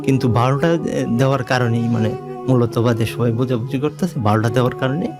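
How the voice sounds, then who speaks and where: Halting Bangladeshi man over music. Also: medium pitch. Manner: halting, repetitive, leans on filler phrases. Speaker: guest storyteller. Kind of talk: unscripted personal storytelling.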